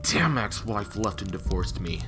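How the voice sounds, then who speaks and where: A man plays a hard-edged, bitter survivor in a battle-royale audio drama. He is bossy and low in pitch. Music plays clearly behind the dialogue. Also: expressive, unhurried. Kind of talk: amateur audio fiction dialogue. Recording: background music under the voice.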